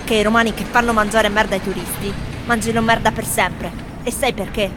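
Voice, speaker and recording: An actress playing foreign tourists who address a city in a theatrical radio recitation. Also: female voice, medium pitch, fairly even intonation, a little fast, background noise, lightly acted. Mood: bitter, blunt. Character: foreign tourists addressing blessings and curses to Rome. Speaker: performer in a radio theatre piece. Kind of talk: theatrical recitation of collected testimonies. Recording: voice over a music bed.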